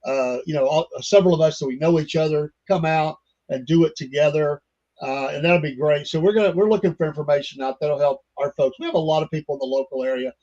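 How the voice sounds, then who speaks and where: An older man hosts a casual podcast chat, rambling along with plenty of fillers and folksy asides. His voice sits a little high for a man, and the recording sounds dull and lo-fi. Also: quick pace, warm tone. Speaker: podcast host.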